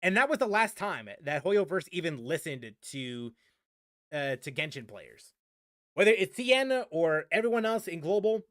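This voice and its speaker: A man's voice: fairly high pitch, sing-song, moderate pace, fairly bright tone, dry recording, clean studio sound. A man streaming a game and ranting casually, rambling with many restarts and fillers. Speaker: gaming streamer.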